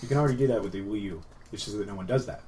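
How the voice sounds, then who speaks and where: A man's voice: lowish voice, racing, mellow. A man on a podcast panel, chatting informally about video games and telling anecdotes. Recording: faint background noise, room ambience.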